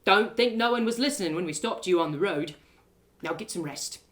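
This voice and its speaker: A woman playing a kindly male prison guard with a folksy, polite and gentle way of talking. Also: mid-range voice, animated, a little fast, lightly acted.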